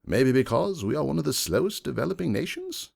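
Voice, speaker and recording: Male reader as progressive noble stallion, assured, emphatic and cutting, storybook drama, hugely varied intonation, some gravel. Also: mid-range voice, sing-song, fairly quick, darkish tone, voice pushed up, exaggerated voice. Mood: persuasive. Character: self-assured wealthy gentleman stallion, progressive, defiant. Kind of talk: dramatic first-person fan fiction reading.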